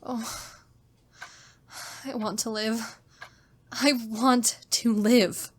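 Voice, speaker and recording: A woman's voice: a little high, fairly even intonation, extremely slow. An actress playing a terrified, genteel captive young woman in a suspense drama, with a faltering, melodramatic delivery. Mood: despairing. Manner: faltering, repeats words, melodramatic. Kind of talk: old-time radio style suspense drama, diary monologue.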